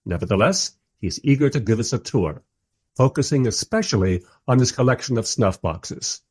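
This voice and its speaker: An actor playing a bemused, wry filmmaker who narrates and interviews in a scripted radio drama. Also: male voice, mid-range voice, lively intonation, moderate pace, darkish tone, breathy edge. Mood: wry, narrating.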